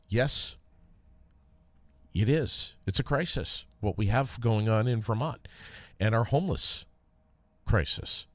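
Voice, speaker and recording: Deep-voiced, slow-talking American man. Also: no echo. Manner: halting, conversational delivery with frequent pauses. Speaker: podcast host, former radio DJ. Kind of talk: casual solo podcast talk with read sponsor plug.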